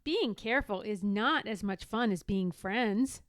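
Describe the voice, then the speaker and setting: A woman voicing a sly, firm young girl in a storybook, speaking with deliberate emphasis. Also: mid-range voice, no room sound, studio quality, lightly acted. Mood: earnest. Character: young girl, firm, sly, singsong, standing her ground.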